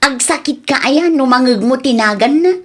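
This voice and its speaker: A woman acts a chatty female best friend in a comedy radio drama. Her voice sits fairly high, and she pries and teases as she talks. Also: animated, normal speed, fairly bright tone. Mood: nosy, teasing.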